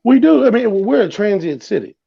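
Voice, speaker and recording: A blunt, foul-mouthed man holds forth on a casual sports podcast, his voice sitting a little high. He talks with heavy emphasis. The recording sounds dull and lo-fi. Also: sing-song, a little fast, vocal fry, a loud crowd. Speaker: podcast co-host.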